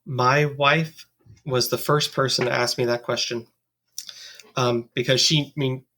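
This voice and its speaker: A woman's voice: a bass voice, fairly even intonation, unhurried, a bit bright. A woman guest on a podcast, answering frankly and thoughtfully. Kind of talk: casual interview answers.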